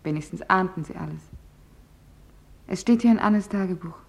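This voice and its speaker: A woman's voice: on the low side, moderate pace, gravelly. A woman playing a young office clerk, giving earnest, plain testimony in a radio documentary drama. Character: young female office clerk earnestly recalling wartime events.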